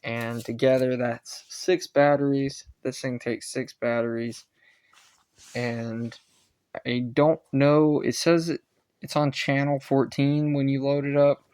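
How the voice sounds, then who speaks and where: A man giving a casual, rambling hobbyist gadget review, halting and often correcting himself as he goes. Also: medium pitch, level tone, darkish tone, clear, resonant tone. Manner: halting, self-correcting, unscripted.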